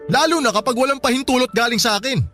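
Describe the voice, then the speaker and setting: An actor playing a strict, suspicious store boss in a Tagalog radio drama, questioning in a stern manner. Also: male voice, extremely high pitch, fast talker, sharp tone. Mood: scolding. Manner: interrogating, stern. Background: music.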